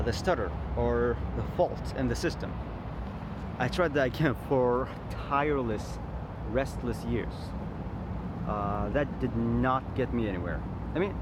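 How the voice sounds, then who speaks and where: A man vlogging a reflective personal monologue, thoughtful and halting, restarting his sentences often. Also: mid-range voice. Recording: loud traffic noise behind.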